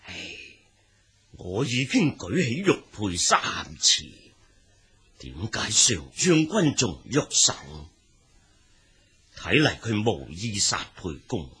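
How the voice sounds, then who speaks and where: A ruthless old strategist in a Chinese historical radio drama. His voice is husky and forceful, and he plots in slow, emphatic, calculating phrases. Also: fairly low pitch, big pitch swings, heavily acted. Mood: frustrated, plotting. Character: scheming, ruthless old male military adviser.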